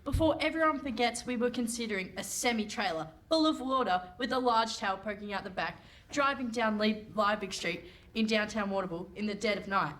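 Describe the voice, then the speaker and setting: A woman's voice: medium pitch, a little fast, a bit bright, close to an everyday voice. A woman playing an earnest, put-upon narrator in a comic radio play, prim and matter-of-fact.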